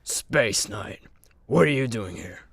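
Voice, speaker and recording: A woman's voice: rumbling low, expressive, takes it slowly, slightly rough, no echo, clean studio sound, heavily acted. A woman playing a blunt, sarcastic superhero who mocks others in a comedy radio play. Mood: mocking. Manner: sarcastic, mocking. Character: snarky, blunt female superhero judging tryouts.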